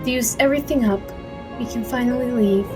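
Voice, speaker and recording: Gentle parent played by a woman for anime fan dub: fairly high, tender, deliberate, music behind. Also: lightly acted. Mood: relieved, calm. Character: gentle parent of a young guardian girl. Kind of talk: dramatic anime-style fan dub acting.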